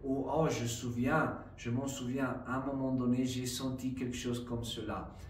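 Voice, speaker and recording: A man speaking French as a second language, vlogging in a slow, halting way with many fillers and frequent "d'accord?" checks to the viewer. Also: medium pitch, steady tone, far from the mic, a little hiss or hum. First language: not French. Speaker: trading vlogger. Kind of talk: casual explanatory vlog. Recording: roomy, voice a bit off-mic, faint ambience.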